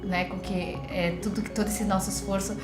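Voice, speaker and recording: A woman's voice: medium pitch, level tone, a little fast, music in the background. A Brazilian woman entrepreneur talking hesitantly and reflectively in a documentary interview. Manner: hesitant, reflective, frequent fillers. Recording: roomy interview room.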